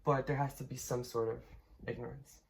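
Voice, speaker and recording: A woman's voice: a bass voice, flat delivery, brisk, vocal fry, a little mellow. A young woman from Poland, casually explaining her thesis with many fillers, restarts and laughs. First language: Polish. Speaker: linguistics student.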